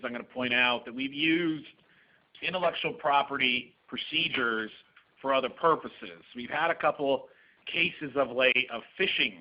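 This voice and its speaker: A man in the middle pitch range presenting at a seminar, explaining practical points in a brisk, plain way. The recording is dull and muffled, like a meeting room webcast. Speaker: lawyer presenter. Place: conference room with a live audience.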